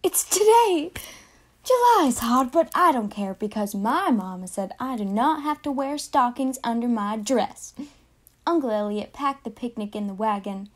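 Young woman as an eager, high-voiced little farm girl. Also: expressive, moderate pace. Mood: excited. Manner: wide-eyed, eager storytelling. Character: excited little farm girl of long ago. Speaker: student performer. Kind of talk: dramatised oral-history monologue.

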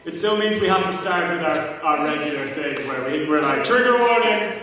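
A man's voice: on the high side, lively intonation, fairly quick, pushed and bright. A young Irish man hosting a convention panel in a casual, joking way. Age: young adult. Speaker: panel host. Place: large hall at a panel table, far camera. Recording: very echoey noisy hall, far off.

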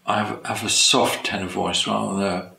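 A man in his sixties from England, an amateur choir singer talking softly and hesitantly in a documentary interview. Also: lowish voice, flat delivery, moderate pace, gravelly, slight background noise. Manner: soft-spoken, hesitant, chuckling. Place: living room with leather sofas. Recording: roomy sound with music behind.

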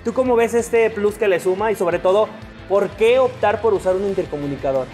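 A man's voice: sits high, a little fast, a little mellow, soft background music. A young man with a Mexican Spanish accent, eagerly hosting a product review and asking questions. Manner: upbeat, eager interviewer. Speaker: host. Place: motorcycle gear shop, indoors.

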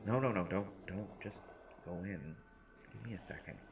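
A man's voice: low-pitched, normal speed, creaky, noisy background. A man voicing a short teenage boy who jokes nervously and pokes fun at himself in a listener-directed audio roleplay. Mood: hushed, tense. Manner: rambling, self-mocking, nervous humor. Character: short teenage boy, joking and self-deprecating.

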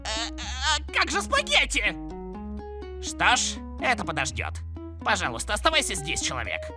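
A man voicing a loud, naive, excitable skeleton brother in a comic dub, theatrical and exclamatory. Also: sits high, moderate pace. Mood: puzzled, uneasy. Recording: music behind the voice.

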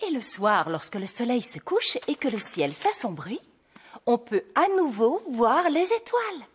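An actress playing a gracious fairy queen and mother in a French cartoon, patient and expressive, with a slightly husky voice. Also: fairly high pitch, measured pace, exaggerated voice. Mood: patient, explaining. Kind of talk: French animated cartoon dialogue.

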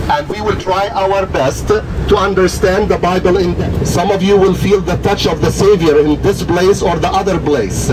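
A middle-aged man, guiding a bus tour in a fervent, preacher-like way with repeated rhetorical questions. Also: high-pitched. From Greece. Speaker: tour guide. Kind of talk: guided tour commentary. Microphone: handheld mic, heard from camera a few rows back. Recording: distant voice over loud bus noise.

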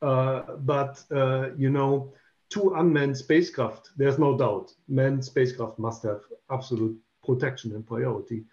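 A man in his fifties, an English speaker, explaining carefully as a panel guest on a video call and pausing on many "uh" fillers. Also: mid-range voice, fairly even intonation, a little mellow. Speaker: panel guest, space safety expert. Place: home room, indoors. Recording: dull lo-fi call audio.

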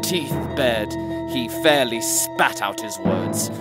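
An actor playing a grave fairy-tale storyteller, narrating with dramatic, emphatic delivery. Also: male voice, medium pitch, animated, takes it slowly, over loud music. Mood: menacing.

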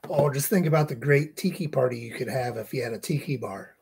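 A man's voice: medium pitch, fairly quick, vocal fry, a little mellow, husky. A man in his forties co-hosting an online charity auction over a webcam, calling bids and tossing in dry jokes. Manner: joking, dry asides. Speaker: auction co-host. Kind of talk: casual livestream auction chat. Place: indoors, small room with tiki decor.

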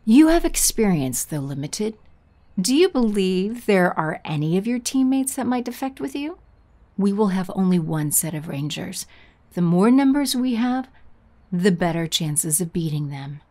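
Audio drama villainess, acted by a woman: deliberate, authoritative, secretive, widely varied intonation at a slow pace. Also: mid-range voice, very animated, mellow. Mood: cool, scheming. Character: scheming female villain in human disguise.

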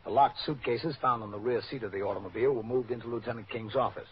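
A man playing a steady, matter-of-fact police captain in a scripted old-time radio drama. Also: medium pitch, quick pace, lightly acted. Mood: factual, measured. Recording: dull, noisy old radio recording.

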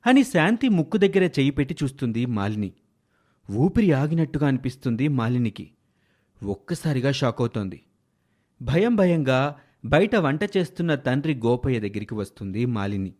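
Casting: male narrator for moral tales, medium pitch, very animated, dramatic delivery. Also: moderate pace. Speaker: storyteller. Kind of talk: scripted moral story narration.

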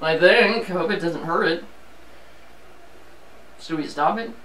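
A woman's voice: fairly low pitch, slow, a bit bright. A woman laughs and grumbles at a video game, tossing out exasperated exclamations between giggles. Her voice is caught from across an echoey living room by a distant camera. A faint hum of room noise runs behind her. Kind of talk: casual chat while playing a video game. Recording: roomy, distant home video.

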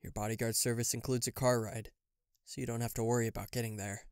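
A man's voice: mid-range voice, flat delivery, fast talker, a little mellow, clear, resonant tone, no room sound, close to an everyday voice. A man playing an aloof, reluctantly caring bodyguard in a roleplay audio drama, talking to the listener in a dry, guarded, mildly exasperated way. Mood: polite, professional. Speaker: voice actor.

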